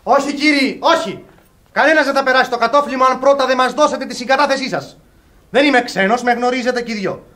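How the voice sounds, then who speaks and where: A proud young lover in a classic radio comedy. His voice is remarkably high for a man, and he declaims formally, ardent and defiant. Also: pushed and bright. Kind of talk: theatrical radio comedy acting.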